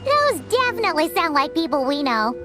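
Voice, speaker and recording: A woman voicing a tiny, excitable childlike fairy companion in a video game, squeaky and perky. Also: fairly quick. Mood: curious, friendly. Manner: perky, refers to itself in third person. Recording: loud music behind the voice.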